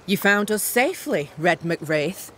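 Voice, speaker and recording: An actress playing a tough, blunt frontier camp leader in a video game, speaking curtly. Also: female voice, medium pitch, animated, a little slow, a little hiss or hum, natural voice. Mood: stern, matter-of-fact. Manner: curt, commanding. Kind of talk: video game cutscene acting.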